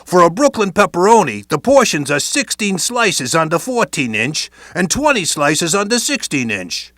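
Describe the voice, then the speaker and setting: A man's voice: sits high, sing-song, moderate pace, fairly bright tone, no room sound, studio quality. A man narrating a training video in playful wiseguy slang. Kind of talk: scripted instructional narration.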